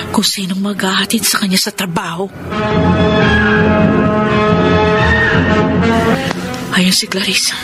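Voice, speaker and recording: A woman's voice: medium pitch, close to an everyday voice. An actress playing a suspicious, meddling stepdaughter in a Filipino radio drama, scheming and insistent as she talks. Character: suspicious, meddling stepdaughter tailing her father's wife.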